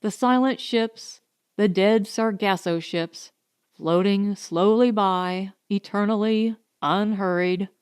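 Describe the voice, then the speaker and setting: A woman reads a scripted science fiction audiobook as its narrator. Her voice is smooth and clean, and she takes the sentences at an unhurried, slow pace. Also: mid-range voice, dry recording, clean studio sound.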